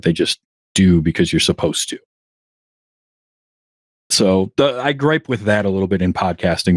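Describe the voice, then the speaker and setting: A man with a lowish voice talks loosely as a podcast guest, often restarting mid-sentence and chuckling at himself. The sound is dry and close, with no room around it. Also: a little mellow, dry recording. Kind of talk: casual interview chat.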